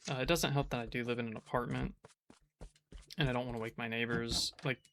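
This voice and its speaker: A man giving casual gameplay commentary from his apartment, in a flat, restrained voice that he keeps low so he doesn't wake the neighbors, rambling with frequent restarts. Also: fairly quick, slight background noise. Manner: monotone, kept quiet. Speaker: gaming channel host.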